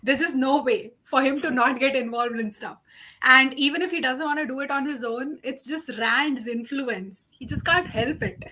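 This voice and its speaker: A woman talking informally in a book discussion. Her voice sits on the high side with a bright, forward edge, and she offers her opinions freely. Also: normal speed, slight background noise, low-quality recording. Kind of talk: casual group book discussion.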